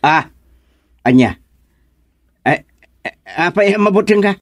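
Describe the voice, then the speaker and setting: A man's voice: sits high, expressive, slow pace, full character voice. A man acting in a comedic radio drama as a fussy, blustering older uncle who owns a farm, exclaiming and repeating his words. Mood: flustered.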